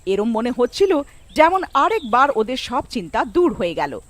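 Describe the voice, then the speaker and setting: Lady narrating a spooky cartoon tale, high voice, very lively. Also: moderate pace. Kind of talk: scripted cartoon horror story narration.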